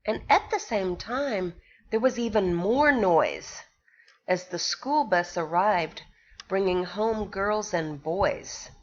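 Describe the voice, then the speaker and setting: A woman, a pre-K teacher, reading a picture book aloud to young children and pausing to ask them questions. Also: mid-range voice, dull audio, a little hiss or hum. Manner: slow, sing-song storytelling with questions to the children.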